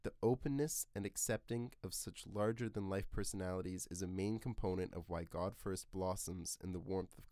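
Male podcast host, low pitch, close dry sound, scripted narration, earnest literary delivery. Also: a little mellow, dry recording.

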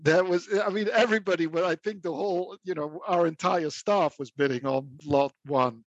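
Dutch guy talking auctions, kinda high voice, thoughtful, dead dry mic. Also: no echo, muffled recording. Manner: measured and reflective, with occasional laughs. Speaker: guest, auction house specialist. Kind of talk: conversational interview.

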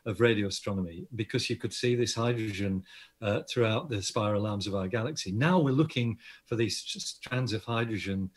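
A man's voice: fairly low pitch, fairly quick. A man with an Australian accent, an astronomer guest, explaining patiently and modestly in an interview. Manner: explanatory, self-deprecating, laughs often.

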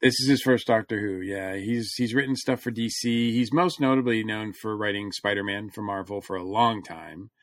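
A man's voice: fairly low pitch, normal speed, hardly any pauses, dry recording. A man co-hosting a podcast, chatting casually like an enthusiastic fan. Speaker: podcast co-host reviewing comics. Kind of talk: casual chat.

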